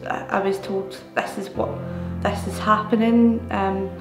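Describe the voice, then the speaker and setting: Woman around twenty, gravelly edge, halting, echoey room, music. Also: mid-range voice, flat delivery. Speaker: young volunteer. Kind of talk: interview talk. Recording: roomy sound over soft music.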